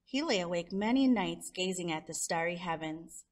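A woman's voice: on the low side, lively intonation, normal speed. A woman storyteller reading a children's picture book aloud expressively, drawing out descriptive words. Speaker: storyteller, show host.

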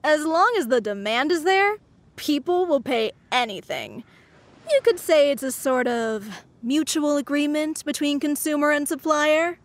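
A woman's voice: high voice, animated, unhurried. A woman playing a cheerful, money-loving young traveling merchant in a video game, with a chipper, persuasive sales manner.

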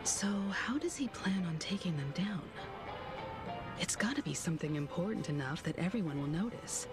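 An actress playing a composed, analytical woman in a video game, speaking in a deliberate, articulate and cautious way. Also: lowish voice, lively intonation. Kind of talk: video game voice acting, measured dialogue. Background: game background music.

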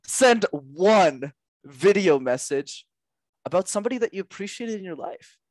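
A young man, a podcast guest and communication coach, giving energetic coaching advice with lots of emphasis and repetition over a headset mic at home. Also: high-pitched, moderate pace, no echo. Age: young adult. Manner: animated, gestures a lot, drives points home with repetition. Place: small room at home.

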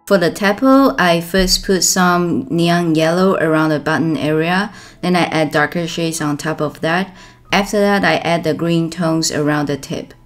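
A young woman calmly explaining step by step how she colors a drawing, as the host of an art tutorial. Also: deep voice, a little slow, soft background music. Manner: calm, instructive.

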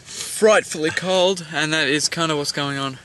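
Teen boy, high voice, goofy and crude, outdoor camcorder audio with heavy noise. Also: normal speed. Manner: goofy, joking, swears freely. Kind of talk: casual chat among friends. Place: outdoors on a rural farm, by a tent and dam. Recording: outdoor camera audio with loud wind and background noise.

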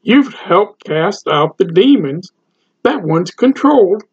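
An older man, a pastor, preaching a sermon slowly and deliberately to a webcam from his home study. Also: high-pitched, animated, darkish tone, slightly rough. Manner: slow, deliberate preaching to camera. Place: home study with bookshelves, indoors. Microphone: webcam, seated at computer. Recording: dull lo-fi webcam audio.

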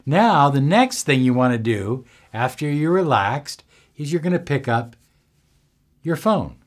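Slow, expressive older man coaching. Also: mid-range voice. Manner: deliberate, emphatic, pausing between short phrases. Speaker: self-help coach. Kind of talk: instructional talk to camera. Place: small indoor room with bookshelves.

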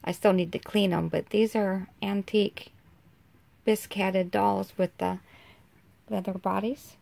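A woman in her fifties with an American accent, chatting casually into a handheld phone camera, folksy and quick to laugh. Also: mid-range voice, flat delivery, gravelly, mellow. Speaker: vlogger. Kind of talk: casual vlog chat. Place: inside a vehicle.

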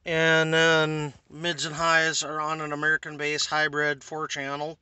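A man's voice: a little high, completely flat delivery, a little slow, sharp tone, clear, resonant tone, dry recording. A man giving a casual, informal walkthrough as a car audio hobbyist, self-deprecating and a little coarse, recorded on a handheld phone. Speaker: car audio hobbyist showing his system. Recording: noisy phone recording around vehicle.

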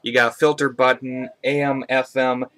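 A man giving a casual, conversational product review as a hobbyist, speaking haltingly off camera into a webcam at home. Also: medium pitch, level tone, a bit bright. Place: indoors, small room at home. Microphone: webcam on a tripod, speaker off camera.

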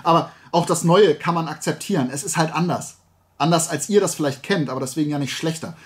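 A middle-aged man hosting a casual, opinionated talk show, speaking colloquially in an animated ranting manner. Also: a little high, fast. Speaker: host. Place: small room at home, indoors, at a desk.

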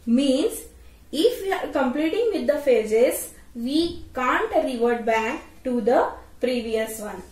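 A woman's voice: high-pitched, animated, slow, darkish tone. A woman explains step by step like a teacher, repeating phrases and checking in with short tags. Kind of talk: explanatory tutorial lecture. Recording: roomy echo, distant microphone.

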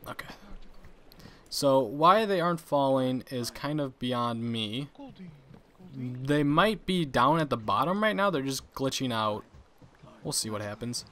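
A young man casually commenting on his own gameplay, chatty and quick to laugh at his mistakes. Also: medium pitch, fairly bright tone. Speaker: gaming channel host.

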